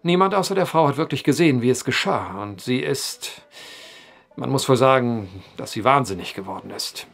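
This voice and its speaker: Eloquent, theatrical, animated brooding storyteller. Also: male voice, mid-range voice, moderate pace, gravelly, faint music behind. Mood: grave. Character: eloquent, brooding male storyteller, drinking at a bar. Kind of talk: audio drama acting.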